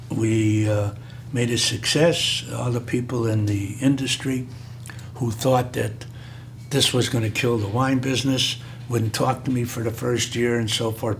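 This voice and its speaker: Older guy, kinda hoarse, rambly old story, lots of uh's, faint hum behind. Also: mid-range voice. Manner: rambling, frequent filler pauses. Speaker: interviewee. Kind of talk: oral history recollection.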